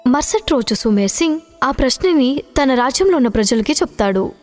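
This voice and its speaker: A woman's voice: high-pitched, lively intonation, a little fast. A woman narrating a scripted children's story, with music behind her. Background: background music.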